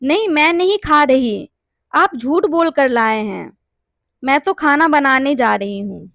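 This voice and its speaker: A woman's voice: sits high, expressive, unhurried, creaky, fairly bright tone, no echo, studio quality, exaggerated voice. A woman playing an honest village priest's wife in a cartoon bedtime story, reproachful and moralizing. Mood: refusing. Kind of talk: animated bedtime story character acting.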